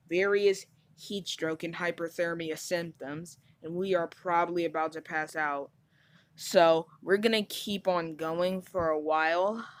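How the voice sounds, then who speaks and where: A woman acts out a weary, overheated female newscaster in an amateur audio drama. Her voice sits fairly low. She rambles informally and bluntly, like an anchor who has dropped the polished front. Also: lightly acted. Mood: somber, tired. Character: overheated, frank, swearing female TV news anchor, flustered and weary.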